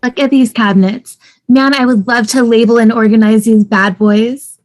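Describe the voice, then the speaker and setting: Upbeat young wife, read by a woman, high register, casual enthusiasm, sitcom script. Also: fairly even intonation, moderate pace, darkish tone, natural voice. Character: upbeat young wife excited about inherited house.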